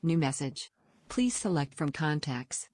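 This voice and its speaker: Phone assistant, played by a woman: impersonal, unhurried, low-toned, clipped menu announcements. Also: expressive, a little mellow. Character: phone screen reader, flat robotic assistant.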